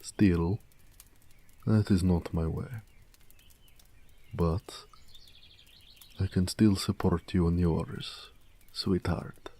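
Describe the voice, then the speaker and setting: Man voicing a deep, slow, gentle orc blacksmith. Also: fairly even intonation, heavily acted. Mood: warm, affectionate. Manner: soft, measured, tender delivery with hushed moments. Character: gentle peace-loving male orc blacksmith, tender and wistful. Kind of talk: intimate romantic audio roleplay.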